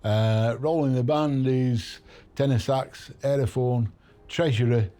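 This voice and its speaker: A man in his late sixties, a band's saxophonist, speaking in a dry, halting way in a documentary interview. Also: medium pitch, fairly even intonation, warm tone. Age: 60s. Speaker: band saxophonist. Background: music bed.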